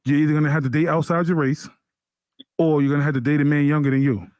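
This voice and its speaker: A man's voice: on the high side, steady tone, quick pace, a little mellow, no echo, studio quality. Insistent man in his thirties. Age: 30s. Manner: insistent, repeats himself when pressed. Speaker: podcast co-host. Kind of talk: heated casual debate.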